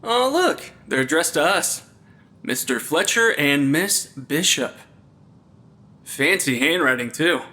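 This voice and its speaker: Man acting a nerdy investigator, bright sharp voice, dry quips, slightly pompous, jittery, audio drama banter. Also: on the high side, animated, slow pace, heavily acted. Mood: wry, intrigued.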